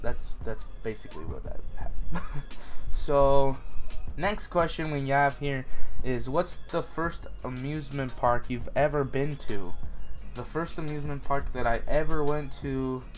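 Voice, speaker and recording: A man's voice: medium pitch, a little slow, soft background music. A young man from Utah answering viewer questions in a casual, rambling vlog, full of fillers and restarts.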